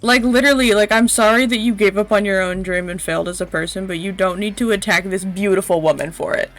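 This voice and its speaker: Female streamer, young adult: medium-pitched, slangy, blunt, off-the-cuff game commentary with light background music. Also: steady tone, quick pace. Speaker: game livestreamer. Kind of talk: casual, rambling live game commentary.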